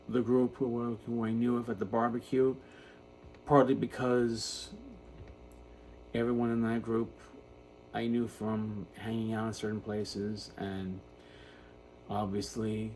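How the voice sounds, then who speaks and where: A man in his fifties giving an unscripted personal monologue in a halting, self-reflective way. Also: medium pitch, level tone, a little mellow, poor audio. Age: 50s. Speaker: video creator talking about personal issues. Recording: noticeable background noise.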